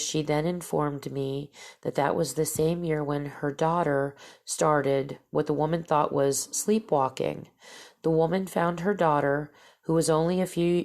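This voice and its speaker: A woman's voice: sits low, vocal fry, darkish tone. A woman hosting a podcast, casually telling encounter stories in a stuffy, nasal voice from her allergies. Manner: rambling, with fillers and restarts. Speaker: podcast host.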